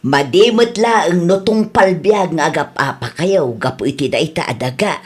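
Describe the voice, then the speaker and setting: A woman's voice: on the low side, expressive, moderate pace, lightly acted. A woman with an Ilocano accent playing a chatty, advice-giving village wife in a radio comedy drama. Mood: concerned, advising.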